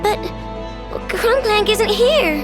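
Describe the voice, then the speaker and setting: A woman voicing an excitable, wide-eyed small child adventurer in a video game, speaking in exclamations. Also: very high voice, slow, soft background music. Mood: eager, curious. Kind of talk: animated video game voice acting.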